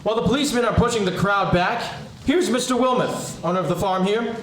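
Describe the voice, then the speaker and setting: A man playing an excitable 1930s radio field reporter, giving polite but pressing on-scene commentary full of wonder. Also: high voice, fast talker, close to an everyday voice. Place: live audience performance in a hall. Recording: echoey hall, distant mic, noisy ambience.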